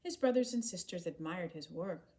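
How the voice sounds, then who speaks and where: A woman reading a children's story aloud in an expressive, animated way, acting out the dialogue. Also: fairly low pitch, normal speed. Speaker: storybook reader.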